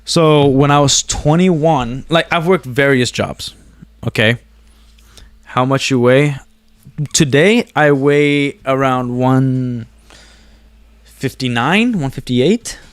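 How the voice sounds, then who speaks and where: A man's voice: medium pitch, takes it slowly, pauses often, smooth, no room sound. A man who learned English after other languages, chatting casually to viewers in a slangy, meandering, self-deprecating way. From born abroad, moved to the US as a child. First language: a language other than English. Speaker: vlogger talking to viewers. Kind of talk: casual rambling chat to camera.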